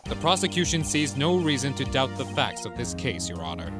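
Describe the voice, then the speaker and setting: A man's voice: mid-range voice, big pitch swings, fairly quick, over loud music, natural voice. A man playing a cool, confident prosecutor in an amateur courtroom game dub, speaking in a clipped and formal manner.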